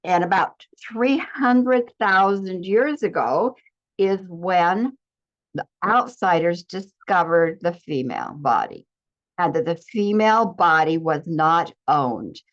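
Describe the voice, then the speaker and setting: A woman's voice: fairly low pitch, expressive, slow pace. A woman giving an earnest spiritual teaching talk, speaking in declarations with frequent restarts. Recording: slightly dull lo-fi recording.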